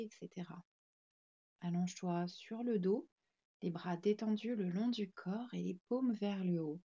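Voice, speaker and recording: A woman narrates a guided relaxation session as a yoga nidra teacher. Her voice is mellow and relaxed, set at a medium pitch. She gives gentle, soothing instructions in short phrases, and the recording sounds a little dull.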